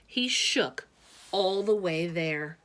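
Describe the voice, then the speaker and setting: A woman reading a children's storybook aloud with expressive, deliberate phrasing and casual asides. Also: on the low side.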